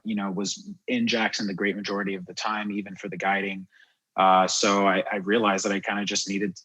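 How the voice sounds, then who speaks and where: A man's voice: fairly low pitch, a bit bright, rough recording. A man from Miami, born in France, chatting informally and reflectively as an interview guest. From born in France, grew up in Miami, USA. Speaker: podcast guest, wildlife photographer and guide. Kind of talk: casual interview chat.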